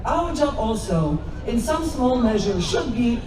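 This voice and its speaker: A woman's voice: on the high side, very animated, a little slow, noisy background. A woman born in Poland giving an impassioned, sarcastic rally speech outdoors. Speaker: rally speaker. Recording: roomy, distant, loud crowd.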